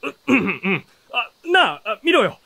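A man playing an easygoing, teasing young traveler in a Japanese historical fantasy radio drama, with a relaxed, bantering manner. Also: extremely high pitch, normal speed, vocal fry, heavily acted. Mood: curious, friendly. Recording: music under the voice.

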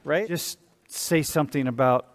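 Animated older professor in a hall. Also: male voice, a little high, normal speed. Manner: animated, restless, gestures while pacing. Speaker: sociology professor. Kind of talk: classroom discussion lead. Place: large lecture hall. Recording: long hall reverb.

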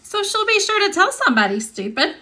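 A woman voicing a scheming, confident young schoolgirl from a storybook, with a dramatic, drawn-out delivery. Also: extremely high pitch, very animated, pushed and bright, pitched above the performer's own voice, exaggerated voice. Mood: bossy, pleased. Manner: dramatic, drawn-out, mock-romantic when dictating.

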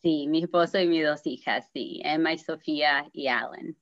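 A woman's voice: sits low, moderate pace, no room sound. Woman on a video call. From Argentina. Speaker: guest. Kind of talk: personal testimony. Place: home living room. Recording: dull video call audio.

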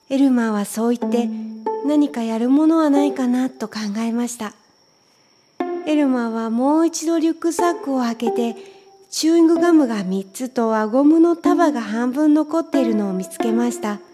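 A woman's voice: high voice, moderate pace, over music. A woman reading a children's story aloud as scripted narration. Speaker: storybook reader.

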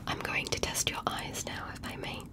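A woman playing a polite, curious alien doctor in an ASMR medical roleplay, speaking gently and carefully in a breathy whisper with formal, reassuring phrasing. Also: moderate pace, lightly acted. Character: polite, curious female alien doctor examining a human patient.

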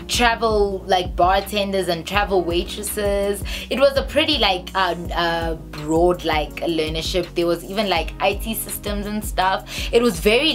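A woman in her twenties with a South African accent tells a story casually to camera, chatty and halting, sometimes reading aloud. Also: fairly low pitch, fairly bright tone. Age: 20s. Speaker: storytime vlogger. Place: small bedroom, indoors. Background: background music.

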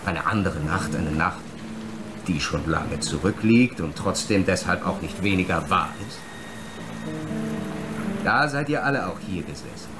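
An actor playing a menacing, brooding film director in a radio drama, an abandoned son who accuses with insistent rhetorical questions. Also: male voice, low-pitched, animated, a little slow, pauses often, over music. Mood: menacing, bitter.

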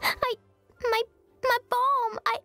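An innocent little girl from an anime-style game, speaks in a tiny, very high voice. She is slow, halting and earnest, easily upset, with faint music behind her. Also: flat delivery, heavily acted. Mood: guilty, tearful. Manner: halting, childlike, naive.

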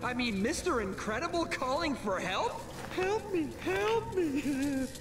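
Casting: manic cartoon villain, gloating fanboy type; very high, animated, mocking, music behind. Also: male voice, unhurried, reverberant, full character voice, low-quality recording. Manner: excitable, mocking, stammering gush. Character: manic, gloating young male fanboy supervillain. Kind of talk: animated film-style game dialogue.

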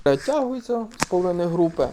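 A man from Ukraine, a soldier, recalling a combat operation in a casual, swearing, halting interview manner. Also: sits high, very animated, noisy background. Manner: casual, profane, halting with fillers. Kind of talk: interview recollection.

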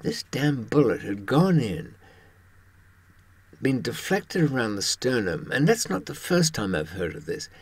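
An elderly man in his seventies giving a wry, halting conversational monologue to camera in a husky voice. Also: medium pitch, very animated. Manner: wry, halting, with pauses for thought. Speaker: presenter talking from personal experience.

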